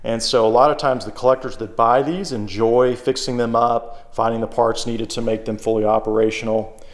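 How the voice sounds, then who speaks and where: A man presents an item in an unscripted, informal walkthrough. His voice sits at an average pitch. He talks plainly and easily, thinking aloud as he goes. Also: fairly even intonation, normal speed. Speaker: secondhand shop presenter. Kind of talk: casual unscripted product demonstration.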